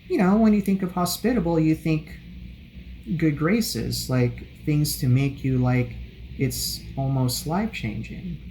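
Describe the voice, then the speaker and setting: A young man talking casually to camera, animated and digressive, thinking out loud as he answers questions. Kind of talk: casual rambling talk to camera. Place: hotel room. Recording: noisy low-grade home recording.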